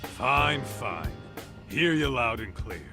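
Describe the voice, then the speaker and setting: Brash, lively American tough guy. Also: mid-range voice, takes it slowly, vocal fry, over music, exaggerated voice. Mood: teasing. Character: brash, teasing tough-guy male detective. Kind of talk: English video game dub acting.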